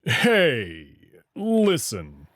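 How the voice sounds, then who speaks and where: A man voicing a massive male alien wolf in a visual novel, expectant and easily exasperated, with a halting, coaxing manner. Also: a little high, huge pitch swings, fairly bright tone, dry recording, studio quality, exaggerated voice. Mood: coaxing, awkward.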